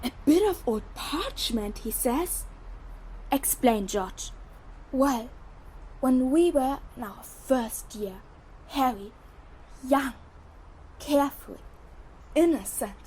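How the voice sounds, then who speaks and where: A woman voices a cheeky teenage boy prankster in an audio play. The voice is fairly high yet soft-toned, with playful, theatrical delivery. There is faint noise on the track. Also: animated, slow, pauses often, rough recording. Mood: mock-innocent, boastful. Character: mischievous, cheeky teenage twin schoolboys. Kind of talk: audio drama acting.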